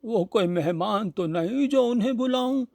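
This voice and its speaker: A man's voice: high-pitched, animated, moderate pace, vocal fry, a little mellow, dry recording, studio quality, voice pushed up. A man voicing a frail old village woman, hungry and brooding, muttering plaintively to herself in a storybook reading. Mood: anxious waiting.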